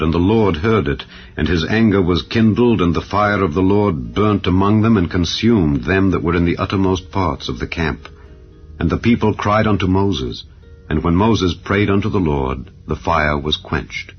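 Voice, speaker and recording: A man reads scripture as a dramatized narration, with a solemn, measured delivery. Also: low voice. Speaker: Bible narrator. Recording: dull, band-limited audio with background music. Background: background music.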